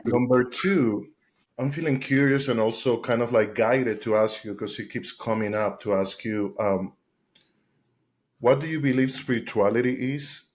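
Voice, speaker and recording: A man's voice: medium pitch, normal speed, a little hiss or hum. A man co-hosting a podcast, asking questions with casual enthusiasm and now and then some light swearing. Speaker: podcast co-host.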